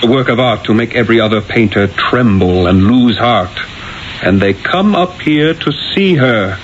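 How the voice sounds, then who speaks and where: A man's voice: mid-range voice, animated, moderate pace, natural voice. A man acting a proud, impassioned Renaissance painter with a lofty, theatrical delivery in a 1970s radio drama. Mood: indignant, impassioned. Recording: dull 1970s radio broadcast, faint noise.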